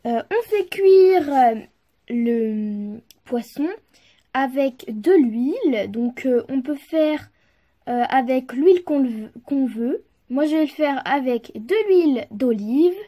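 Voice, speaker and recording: Slow, high-voiced woman presenting, halting delivery. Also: lively intonation, mellow. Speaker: presenter of a homemade toy news show. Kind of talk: amateur news presenting and step-by-step narration.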